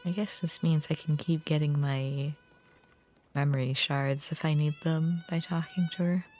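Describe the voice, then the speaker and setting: A woman's voice: sits low, fairly even intonation, moderate pace, a little mellow. A woman live-streaming a game playthrough, reading dialogue aloud and reacting casually. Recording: music in the background. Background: game music.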